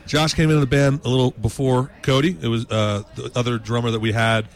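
A man from New England, a blues musician, giving relaxed, rambling answers in a casual interview. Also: medium pitch, fairly quick.

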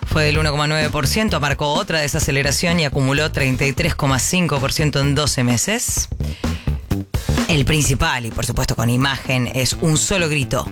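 A man's voice: a little high, over music. A man with an Argentine Rioplatense accent hosting a morning radio show, reading headlines and chatting playfully with listeners. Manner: playful, chatty, drawn-out emphasis. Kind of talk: radio press review and chat. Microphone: studio microphone.

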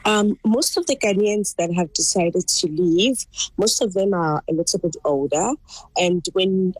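A woman's voice: lowish voice, breathy edge, no echo, low-quality recording. A woman who grew up in South Africa, speaking earnestly and repetitively in a remote news interview. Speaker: interview guest, salon worker. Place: remote link.

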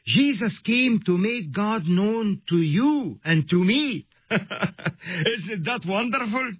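Guy preaching on radio, slow and earnest, husky voice, kinda muffled lo-fi. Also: sits high, no echo. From Egypt. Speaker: radio ministry host. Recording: dull lo-fi radio sound.

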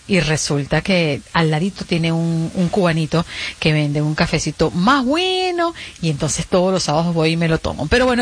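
A Venezuelan woman hosting a morning radio show, teasing her co-host in chat and reading headlines briskly from a studio mic. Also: mid-range voice. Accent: Venezuelan, mixed with Caribbean Spanish. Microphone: studio microphone.

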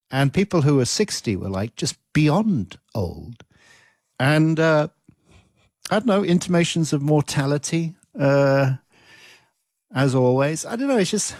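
A man's voice: on the high side, a little mellow, no room sound, studio quality. A sixty-year-old man hosting a casual chat, musing in a wry, self-mocking way. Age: 60s. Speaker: host.

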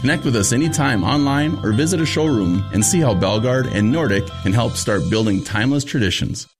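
A man reading a scripted radio commercial with a smooth, steady delivery. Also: mid-range voice, fairly quick, soft background music. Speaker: ad announcer.

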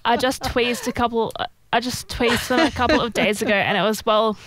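A woman's voice: on the high side, steady tone, fairly quick, fairly bright tone, low-quality recording. A woman co-hosting a live stream, reading out viewer comments in a plain, matter-of-fact way.

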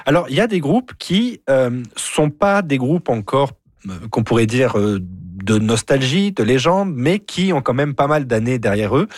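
A man's voice: medium pitch, expressive, normal speed, no echo, clean studio sound. A man with an accent from France giving casual radio commentary as a music columnist, chatty, digressive and teasing. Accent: French from France.

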